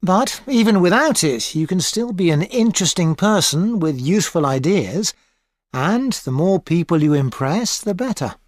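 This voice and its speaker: A calm, measured female tutor. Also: on the low side, dry recording. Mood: advising. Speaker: tutor advising a student. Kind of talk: scripted exam dialogue. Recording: dry studio recording.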